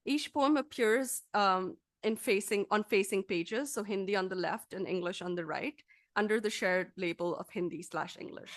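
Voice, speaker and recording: A woman lecturer reading a scripted academic talk aloud in a formal, measured, articulate manner. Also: mid-range voice, no echo, clean studio sound.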